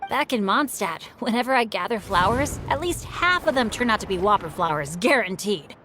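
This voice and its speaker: Unlucky but confident boy hero for a game woman performer: lively inflection, eager and earnest. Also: mid-range voice, brisk, over music, natural voice. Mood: sheepish pride. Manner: confident, good-natured. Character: earnest, eager, unlucky young male adventurer. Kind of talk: animated video game voice acting.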